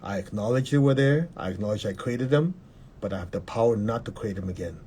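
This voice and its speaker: A man leading a guided meditation in a calm, measured way, repeating short instructions and having the listener repeat affirmations. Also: lowish voice, slight background noise.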